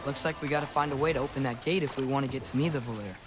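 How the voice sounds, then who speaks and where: A man's voice: medium pitch, rapid-fire, over loud music, lightly acted. An actor playing an earnest, curious teenage boy adventurer in a video game, thinking aloud in a youthful, eager way. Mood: focused. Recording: loud water and boat noise behind.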